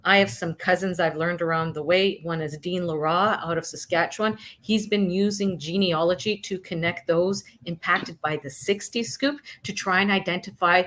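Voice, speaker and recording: A middle-aged woman on a discussion panel, speaking briskly and personally. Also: lowish voice, steady tone, a bit bright.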